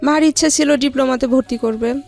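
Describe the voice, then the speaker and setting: A woman recounting her own life story as an interview guest, with halting, hesitant delivery. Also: high voice, steady tone, vocal fry, darkish tone, faint music behind. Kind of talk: personal life-story narration.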